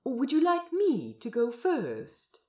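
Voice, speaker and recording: A woman voicing a gentle, fussy male aquarium guide in a fan fiction audio drama, courteous, teacherly and softly enthusiastic. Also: high voice, wildly swooping pitch, slow pace, heavily acted. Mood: patient, encouraging.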